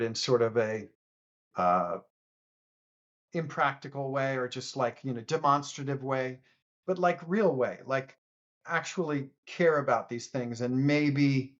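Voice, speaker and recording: Earnest, halting man on dull audio. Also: medium pitch, fairly bright tone. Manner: earnest, halting, many restarts. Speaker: podcast guest. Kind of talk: casual political debate.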